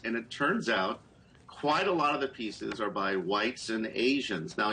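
Bright-voiced man reporting over a video call. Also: on the low side, a little hiss or hum, rough recording. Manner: measured, explanatory. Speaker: political reporter. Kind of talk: live news interview over video call. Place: small room, indoors. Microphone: video call webcam.

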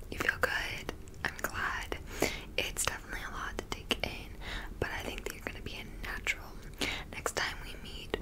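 Whispering young woman, ASMR roleplay. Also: rough recording. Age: young adult. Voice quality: breathy unvoiced whisper. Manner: gentle, unhurried instructing. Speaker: ASMR performer playing a witch teacher.

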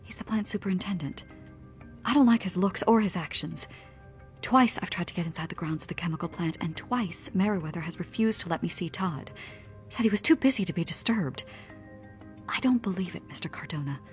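An actress playing an earnest, anxious young woman in a pulp thriller audio drama, speaking in a breathy, hesitant half-whisper. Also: fairly low pitch, expressive, a little fast, soft background music, lightly acted. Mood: anxious, pleading. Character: earnest, anxious young woman pleading her case to police.

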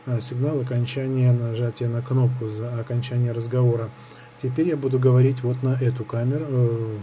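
Male product reviewer, mid pitch, unscripted and hesitant, thinking aloud; homemade tabletop recording with some background noise. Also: mellow. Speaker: gadget reviewer. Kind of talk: casual hands-on product review. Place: indoors at a table in an apartment.

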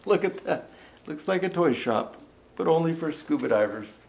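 Man playing high-voiced old Santa, echoey room. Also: lively intonation, normal speed, creaky, slight background noise. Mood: chatty aside. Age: older. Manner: gentle storyteller, showing pictures to listeners. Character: jolly old Santa Claus reading a storybook. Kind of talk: rhyming storybook read aloud with chatty asides. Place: indoor room set with fireplace and tree.

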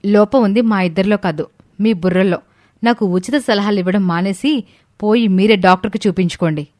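A woman voicing a sharp, jealous, scheming young daughter-in-law in a family story, curt and sarcastic. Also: medium pitch, brisk, no room sound, clean studio sound, close to an everyday voice. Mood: angry. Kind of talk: Telugu moral story dramatization.

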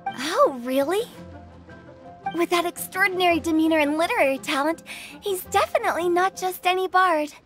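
A woman's voice: very high voice, expressive, moderate pace, fairly bright tone, faint music behind. A woman voicing a playful, nosy teenage girl in a video game, teasing and curious. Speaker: game character.

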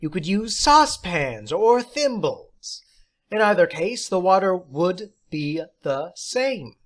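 A man's voice: high-pitched, very animated, takes it slowly, voice pushed up, full character voice. A man voicing an eccentric, jolly woman substitute teacher in an audiobook story, precise, theatrical and condescending. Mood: airy, breezy.

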